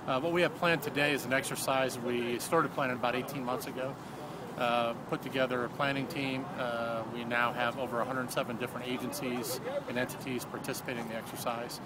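A man in his fifties, a regional security coordinator, answering interview questions outdoors at night into a handheld mic, measured with many filler sounds. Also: medium pitch, little pitch movement. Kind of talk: on-camera interview. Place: parking lot outdoors at night. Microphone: handheld mic held close. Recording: loud outdoor traffic noise.

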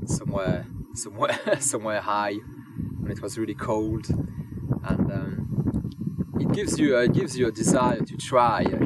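Male, young adult, hobby camper; halting, um-heavy interview answers; slightly low voice, off-mic, heavy music bed. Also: very noisy. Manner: hesitant, many ums and restarts. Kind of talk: casual reflective interview answers. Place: outdoors on moorland. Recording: distant voice under loud music.

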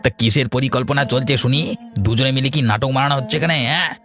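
A man's voice: mid-range voice, fairly even intonation, fairly quick, a bit bright, soft background music, natural voice. A man playing a spiteful, taunting village bully in a fairy-tale cartoon, menacing and gloating. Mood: threatening.

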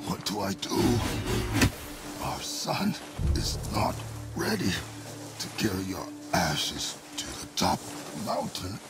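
A man playing a stern, grieving warrior father with a gravelly rumble and terse, formal speech in a video game. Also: exaggerated voice. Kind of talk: video game cutscene acting. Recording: loud music over the voice.

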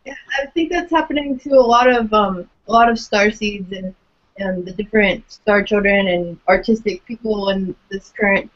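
A young woman co-hosting a podcast interview on a video call, asking questions in a relaxed way. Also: medium pitch, moderate pace. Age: young adult. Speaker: podcast co-host. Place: small room indoors. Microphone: webcam on video call. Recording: dull lo-fi webcam audio.

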